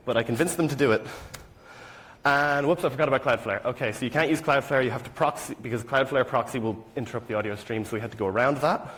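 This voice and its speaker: A young Irish man presents a technical talk in a relaxed, offhand way, with plenty of ums and asides. His voice sits at a middle pitch for a man, and his self-deprecating humour keeps the delivery informal. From rural Ireland. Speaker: student conference speaker.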